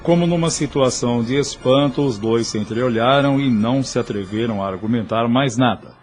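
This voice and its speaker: A man's voice: medium pitch, moderate pace. Casting: male storyteller, mid-range voice, scripted audio drama narration over faint music.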